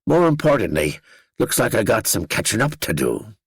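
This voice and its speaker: A man voicing a rowdy, hard-drinking young Northern Irish man in his twenties, teasing and loud in a Northern Irish accent. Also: on the low side, big pitch swings, moderate pace, creaky, breathy edge, no room sound, voice pushed up, full character voice. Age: 20s.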